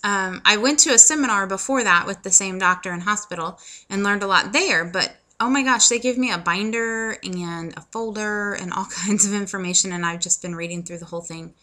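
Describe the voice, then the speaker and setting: A woman's voice: medium pitch, pushed and bright. A woman in her thirties chatting casually to camera in a personal vlog, with self-deprecating humor and lots of ums. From United States. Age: 30s. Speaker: vlogger.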